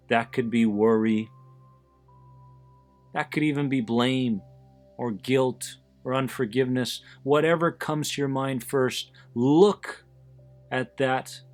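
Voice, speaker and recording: A man narrates a guided meditation at a very slow pace, with music playing behind him. He speaks in short, deliberate phrases with pauses between them. His manner is soothing and his pitch sits in the middle range for a man. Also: a little mellow. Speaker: host of an anxiety support podcast, guiding listeners. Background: background music.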